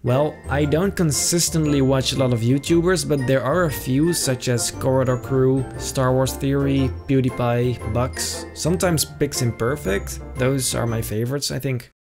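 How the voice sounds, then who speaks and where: A man's voice: mid-range voice, normal speed, few pauses. Young man chatting casually over music. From Netherlands. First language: Dutch. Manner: relaxed, hesitant, with frequent fillers and trailing asides. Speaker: YouTuber answering viewer questions. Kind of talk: casual Q&A chat. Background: background music.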